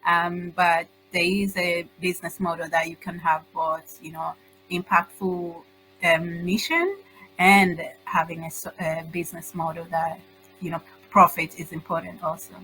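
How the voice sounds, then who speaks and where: A middle-aged woman with a Tanzanian accent giving informal, halting answers as an interview guest. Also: lowish voice, sharp tone, faint music behind, low-quality recording. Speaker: guest, social entrepreneur.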